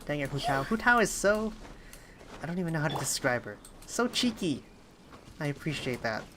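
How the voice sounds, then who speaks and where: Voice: medium pitch, sing-song, moderate pace, noisy background. A game streamer chatting casually on a livestream, hesitant and rambling while thinking aloud. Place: indoors at a streaming setup.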